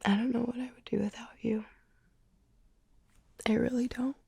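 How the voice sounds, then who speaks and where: A young woman whispering softly in an ASMR roleplay, gentle and tender, a little tearful. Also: on the high side, little pitch movement, normal speed, vocal fry, slightly rough, dry recording. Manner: soft whispering.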